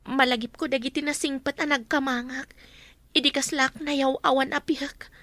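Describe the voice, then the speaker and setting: A woman's voice: high voice, fairly even intonation, moderate pace, a bit bright, dry recording. An actress playing an earnest, wronged young woman in a radio drama, with an Ilocano accent. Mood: reflective.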